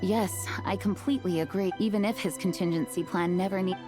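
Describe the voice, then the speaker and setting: A woman's voice: mid-range voice, brisk, music in the background, natural voice. A woman playing a thoughtful, sincere knight who speaks politely and formally in a game. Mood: composed. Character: courteous, thoughtful adult female knight, sincere and composed. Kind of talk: anime game voice acting.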